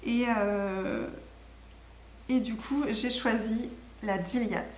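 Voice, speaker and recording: A woman's voice: fairly high pitch, fairly even intonation, drawn-out words. A woman chats casually and enthusiastically as host of a knitting podcast, rambling and correcting herself as she goes. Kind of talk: casual video podcast chat. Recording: echoey room with faint background noise.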